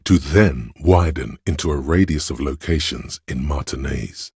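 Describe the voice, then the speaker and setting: A man voicing a detective's analytical inner voice for a video game, in precise, measured, dryly observant narration with a gravelly, husky tone. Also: very deep voice, animated, a little mellow, dry recording, studio quality, heavily acted. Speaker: game voice actor.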